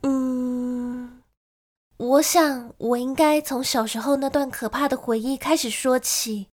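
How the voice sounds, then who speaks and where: An actress playing a lonely young gentlewoman who tells of a frightening childhood in an emotive radio drama style. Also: high-pitched, steady tone, takes it slowly. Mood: wistful. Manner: storytelling, emotive.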